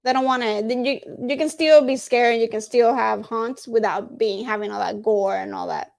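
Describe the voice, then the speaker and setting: A woman's voice: fairly high pitch, fairly even intonation, moderate pace, gravelly, darkish tone. A woman co-hosting a casual livestream chat, often echoing and finishing her partner's phrases. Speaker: co-host.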